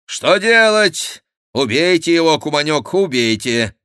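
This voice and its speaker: A coolly commanding Gascon horseman of an adventure audiobook, played by a man, speaking in a raised, ringing pitch. He gives crisp orders and teases without being ruffled. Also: very animated, unhurried, bright, forceful tone, no echo, clean studio sound, full character voice. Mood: coolly teasing. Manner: crisp commands, unruffled and mocking. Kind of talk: audiobook character voice.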